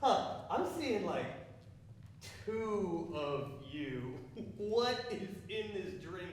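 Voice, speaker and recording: A man's voice: high-pitched, sing-song, very slow, slight background noise, heavily acted. A man playing a rowdy, flirtatious, dazed cowboy on stage, loose and slangy. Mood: woozy, flirtatious. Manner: loose, slangy, mixing in Spanish. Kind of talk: live stage acting. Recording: very echoey theatre, distant mic.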